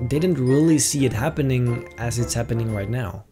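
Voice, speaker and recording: A young man talks through viewer questions in a loose, easygoing way. He hesitates, fills gaps with fillers and trails off into little asides. His voice sits at an ordinary pitch, and music plays clearly behind him. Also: normal speed. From Netherlands. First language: Dutch. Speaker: YouTuber answering viewer questions. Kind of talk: casual Q&A chat. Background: background music.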